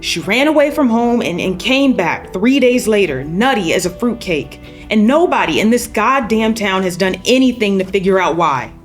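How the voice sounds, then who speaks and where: A woman's voice: mid-range voice, animated, normal speed, hardly any pauses, faint music behind, close to an everyday voice. Woman reading as a weary, angry mother. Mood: shaken, bitter. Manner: folksy, blunt, no-nonsense storytelling. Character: angry, weary mother of a missing teenage daughter. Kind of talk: screenplay table read.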